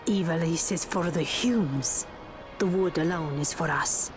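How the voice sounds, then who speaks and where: Slow, aloof forest elder. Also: female voice, deep voice. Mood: cold, commanding. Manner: commanding, terse, archaic phrasing. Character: stern, aloof female leader of a forest race. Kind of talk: dramatic fantasy game acting. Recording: loud orchestral music behind.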